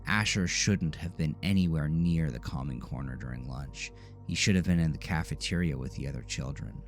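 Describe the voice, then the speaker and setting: A man's voice: a bass voice, moderate pace, soft background music. A man narrating a scripted psychological horror story as a dramatic narrator.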